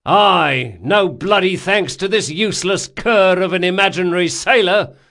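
A man voicing a foul-mouthed young sea captain in an audiobook, shouting commands and theatrical insults. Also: high voice, measured pace, voice pushed up, exaggerated voice. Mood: mock-furious. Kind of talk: audiobook character acting.

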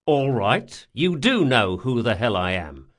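A man narrating an audiobook, voicing a brash, sardonic thief who speaks forcefully, with menace and exasperation. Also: mid-range voice, big pitch swings, a little slow. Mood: sardonic, cocky. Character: brash, sardonic male thief, threatening, foul-mouthed.

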